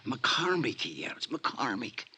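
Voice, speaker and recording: An actor playing a dying old convict informant in a radio drama, raspy and breathy, scheming and eagerly insistent in rough slang. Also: male voice, medium pitch, big pitch swings, slow, sharp tone, exaggerated voice. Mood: insistent, conspiratorial. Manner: slangy, insistent, wheezy whispering. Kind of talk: 1950s radio drama acting.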